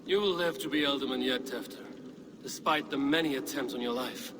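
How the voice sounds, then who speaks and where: A man's voice: medium pitch, brisk, background noise, natural voice. A man playing a stoic, steadfast Viking warrior in a game cutscene, speaking in a terse, grave manner. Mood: reassuring. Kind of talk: dramatic game cutscene acting.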